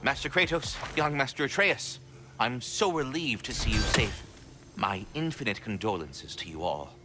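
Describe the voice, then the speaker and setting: A man's voice: medium pitch, lively intonation, normal speed, close to an everyday voice. Man playing a formal, courteous servant, noisy background. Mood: relieved, condoling. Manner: formal, polite. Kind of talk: video game cutscene acting.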